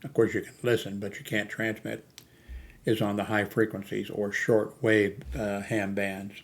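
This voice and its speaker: A man with a low voice and a flat, even delivery talks casually as a hobbyist vlogger. He rambles without a script, halting and searching for his next thought. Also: vocal fry. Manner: rambling, halting, often losing his thread. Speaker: amateur radio hobbyist hosting a video channel. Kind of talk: casual unscripted vlog talk.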